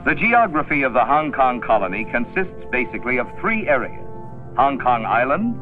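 Archival male narrator, formal newsreel read, lively pitch, tinny old recording over music. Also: moderate pace. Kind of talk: vintage documentary narration.

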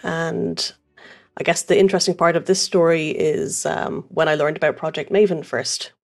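Irish woman engineer interviewee, gravelly, reflective and halting. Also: deep voice, fairly even intonation, soft background music. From Ireland. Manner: thoughtful, with frequent fillers. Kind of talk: interview answers.

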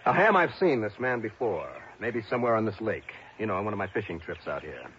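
A man acting as a brisk, hard-boiled investigator who narrates his case in an emphatic 1960s radio drama style. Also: fairly low pitch, expressive, a bit bright, highs cut off, slight background noise. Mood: urgent, friendly. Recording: band-limited old radio recording, music behind.

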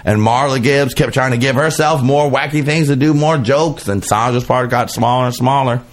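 A man's voice: medium pitch, normal speed. A man hosting a podcast, chatting casually and comedically in a campy, gushing, gossipy way. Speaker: podcast host.

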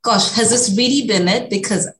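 A woman's voice: a little high, normal speed, fairly bright tone, reverberant. A woman from Kenya with a Kenyan accent, answering interview questions in a reflective, conversational way. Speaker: interview guest, executive director.

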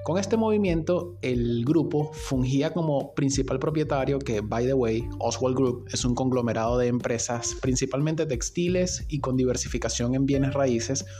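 A male podcast host narrates in a medium-pitched voice with music playing behind him. His delivery is informal and conversational, like someone telling a story, with a dry, sardonic edge to his asides. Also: moderate pace, hardly any pauses, mellow.

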